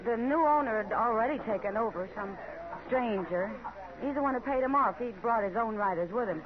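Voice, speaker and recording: A woman's voice: fairly high pitch, brisk, close to an everyday voice. A woman playing a friendly, sociable saloon woman in a radio western, chatting breezily with an American accent. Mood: chatty, informative. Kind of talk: 1950s radio western acting. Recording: muffled old radio, saloon crowd behind.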